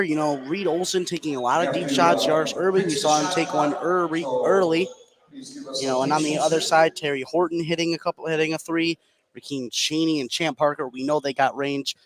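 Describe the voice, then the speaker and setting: An American man giving live high school basketball play-by-play in an energetic, clipped running style. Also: normal speed, a crowd in the background, rough recording. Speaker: play-by-play commentator. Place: indoor basketball gym, courtside broadcast. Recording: clear courtside gym noise.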